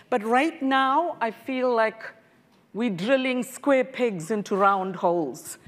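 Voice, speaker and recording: A woman in her sixties, speaking with earnest conviction as a professor on a conference panel, with a lapel mic. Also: a little high. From South Africa. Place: large conference hall stage. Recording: reverberant hall.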